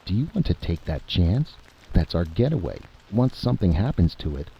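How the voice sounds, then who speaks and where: A man voicing a steady, hopeful adventurer who reasons persuasively in a story reading. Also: deep voice, normal speed, gravelly, highs cut off, full character voice. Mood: firm.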